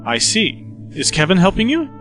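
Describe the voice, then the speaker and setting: A man plays a cautious time-travelling investigator in an audio drama. He is crisp and authoritative, highish and lively in pitch, with clear music under him. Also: moderate pace. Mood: brisk, cautious. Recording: music bed under the dialogue.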